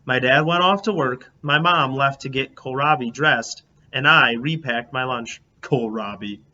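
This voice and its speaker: A man reading a children's book aloud in an animated, expressive way, as a teacher would. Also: medium pitch, unhurried. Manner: expressive, acts out dialogue. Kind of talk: animated storybook read-aloud. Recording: dull lo-fi, clear background noise.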